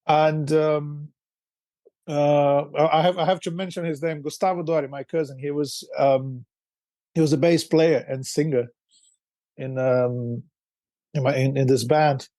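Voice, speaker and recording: A man's voice: fairly high pitch, fairly even intonation, measured pace, warm tone, no echo. A man from Brazil co-hosting a podcast in casual chat, hesitant with fillers and restarts. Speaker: podcast co-host. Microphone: video call.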